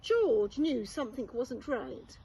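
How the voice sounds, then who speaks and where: A woman reading a children's storybook aloud expressively, like a primary school teacher, with measured, deliberate phrasing. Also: fairly high pitch, big pitch swings, dark tone, slightly rough.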